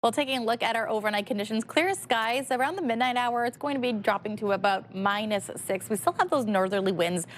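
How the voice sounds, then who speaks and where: A woman's voice: on the high side, a little fast, hardly any pauses, a little hiss or hum. A woman presenting a live television weather forecast in a conversational broadcast style.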